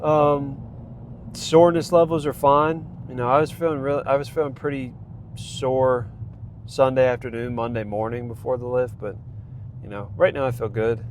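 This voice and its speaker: A man's voice: mid-range voice, fairly even intonation, normal speed, a little mellow. Man, congested, rambling casual vlog talk, loose fillers, noisy outdoor recording. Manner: rambling, many fillers and restarts. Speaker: vlogger tracking a training program.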